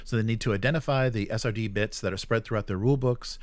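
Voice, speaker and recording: A man hosting a casual news rundown, feeling his way through sentences in a halting, rephrasing manner. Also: on the low side, no room sound. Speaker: show host.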